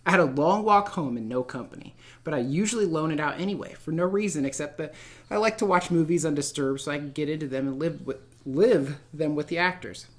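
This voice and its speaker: A man teaching literature in a read-aloud lesson, speaking in an expressive, informal and encouraging way. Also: fairly high pitch, brisk. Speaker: middle school literature teacher. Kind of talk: read-aloud lesson, narrating a novel and addressing students.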